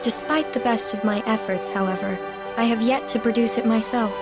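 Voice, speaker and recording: A woman performs a young goddess of order for a game cutscene. Her voice is fairly high and she speaks formally, with earnest authority, over prominent music. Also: steady tone, a little fast, lightly acted. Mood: stately, proud. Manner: formal, stately diction. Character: solemn young goddess of harmony, earnest and imperious. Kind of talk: video game cutscene acting.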